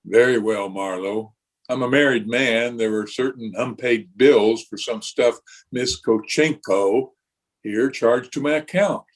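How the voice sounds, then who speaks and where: A man playing a troubled, sheepish married engineer in a radio detective drama, speaking in a hesitant, measured way. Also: mid-range voice, natural voice. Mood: sheepish, resigned. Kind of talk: old-time radio drama re-creation.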